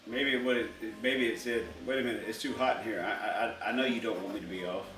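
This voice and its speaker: A man's voice: lowish voice, fairly even intonation, fast talker, recorded from a distance. Male vlog co-host, casual and wisecracking, recorded in an echoey indoor space with faint music behind. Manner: teasing, wisecracking. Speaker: co-host of a home unboxing vlog. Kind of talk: casual joking banter. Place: indoors in a trailer home. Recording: roomy echo, off the mic, faint music.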